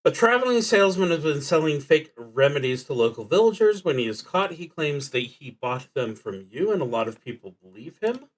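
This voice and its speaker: A man streaming a solo tabletop roleplaying game, thinking aloud casually and often pausing mid-sentence. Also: on the high side, sing-song. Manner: halting, with frequent pauses mid-sentence.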